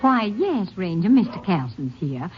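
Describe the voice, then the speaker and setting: A woman's voice: fairly low pitch, sing-song, unhurried. An actress playing a polite, welcoming married woman in a 1950s radio drama, speaking courteously and formally. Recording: muffled old radio recording, loud music.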